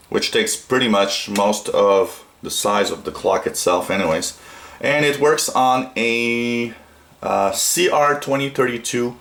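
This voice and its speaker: A man's voice: fairly low pitch, fairly bright tone. A man from Canada giving a casual, unscripted hobby product tutorial, talking in a hesitant, rambling way. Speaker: shortwave radio hobbyist presenting a product. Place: small indoor room at a radio desk.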